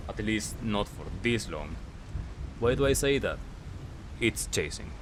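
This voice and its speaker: A man giving casual gameplay commentary as a recap, talking in short clipped phrases with pauses. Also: lowish voice, expressive, noisy background. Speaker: gaming video creator.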